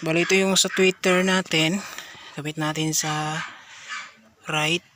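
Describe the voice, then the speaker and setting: A Filipino man giving a casual hands-on product demonstration, speaking haltingly with frequent short interjections. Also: a little high, little pitch movement, background noise. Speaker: product reviewer.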